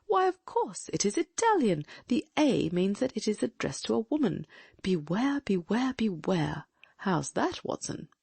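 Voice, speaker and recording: A woman voicing a keen, commanding male detective with a brisk, inquisitive, exclamatory manner in an audiobook. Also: mid-range voice, sing-song, vocal fry, a little mellow, husky, no echo, exaggerated voice. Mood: intrigued.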